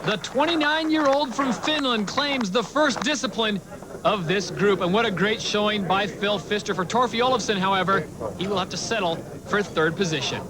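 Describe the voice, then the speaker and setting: A man commentating on a strongman competition as the play-by-play announcer, with a steady broadcast delivery. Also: high voice, moderate pace, over a noisy crowd, rough recording. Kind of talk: sports commentary.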